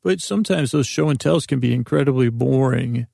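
A man's voice: mid-range voice, fairly quick, creaky, warm tone, no echo, clean studio sound. A man hosting a podcast, rambling through a comic monologue full of restarts and self-interruptions, acting out imagined exchanges. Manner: acts out imagined dialogues. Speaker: podcast host.

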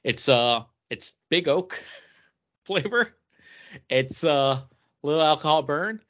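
Bright-voiced man chatting casually. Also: on the high side, lively intonation, slow. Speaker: podcast co-host. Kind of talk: casual chat among friends. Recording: dull lo-fi voice recording.

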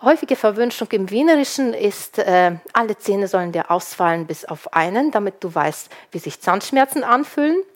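Woman giving a measured lecture. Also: medium pitch, lively intonation. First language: Ukrainian. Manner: measured and explanatory, with occasional hesitation fillers. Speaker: linguist presenting survey research. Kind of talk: prepared lecture talk.

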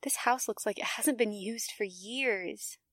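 A woman's voice: medium pitch, dry recording, studio quality, natural voice. A woman playing a timid, frightened teenage girl in the style of 1940s radio drama, speaking in a hesitant way. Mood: uneasy. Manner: hesitant, halting, fearful. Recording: noisy recording with rain.

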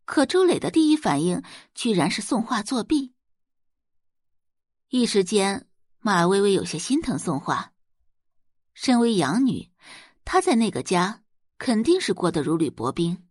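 Animated husky female audiobook narrator. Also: medium pitch, measured pace, long pauses, no echo, clean studio sound. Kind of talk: dramatic scripted narration.

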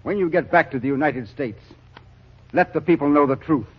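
An actor playing a hardened, blunt army captain working famine relief, grim and weary, in a 1940s radio drama. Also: male voice, a little high, moderate pace, heavy background noise, lightly acted. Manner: blunt, grimly weary, callous. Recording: dull old radio recording.

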